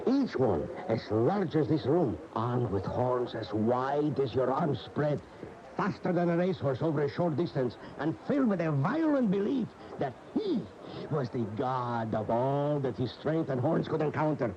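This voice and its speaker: Casting: passionate Spanish-speaking bullfight witness, emphatic, big pitch swings, muffled noisy AM radio. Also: male voice, lively intonation, a little slow. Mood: awed. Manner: dramatic, emphatic. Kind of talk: radio drama storytelling.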